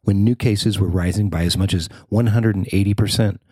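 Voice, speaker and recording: A man's voice: fairly low pitch, fairly even intonation, brisk, warm tone, husky, dry recording, clean studio sound. An American man reading a scripted news story as a podcast host and reporter.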